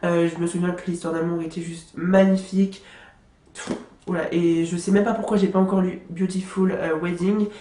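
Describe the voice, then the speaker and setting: A woman's voice: lowish voice, level tone, normal speed, warm tone, slight background noise. A young woman vlogger with a stuffy nose from a cold, chatting casually about books she loves, with lots of fillers and restarts. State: congested with a cold. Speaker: book vlogger. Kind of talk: casual book review monologue. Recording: echoey room.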